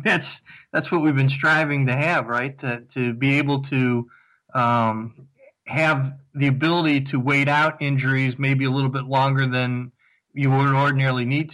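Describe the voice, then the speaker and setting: A man on a casual football chat, sounding upbeat and measured, often restarting his phrases. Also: mid-range voice, fairly even intonation, a bit bright, rough recording. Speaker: podcast panelist.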